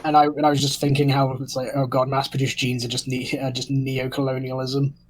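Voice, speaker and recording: A man's voice: mid-range voice, monotone, a little fast, low-quality recording. A man in a casual chat, making his remarks in a wry manner.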